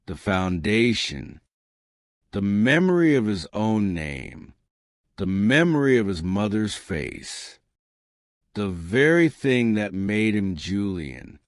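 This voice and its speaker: A man narrating a scripted supernatural thriller in a measured, dramatic, suspenseful way. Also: fairly low pitch, big pitch swings, no room sound, clean studio sound. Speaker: storyteller narrator.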